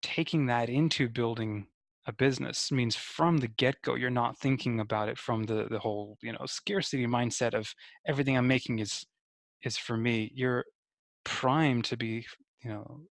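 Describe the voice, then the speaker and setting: A man's voice: medium pitch, steady tone, normal speed, gravelly, no room sound. A man hosting a conversational podcast interview, thoughtfully feeling his way through summaries and questions.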